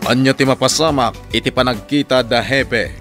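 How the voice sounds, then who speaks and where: A man's voice: medium pitch, normal speed, loud music behind the voice. A man announcing a radio drama in a dramatic scripted style, emphatic and drawn out.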